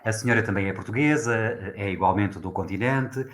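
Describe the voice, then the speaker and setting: A man hosting a formal interview, introducing guests courteously and speaking in a measured way. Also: fairly low pitch, fairly bright tone. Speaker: host.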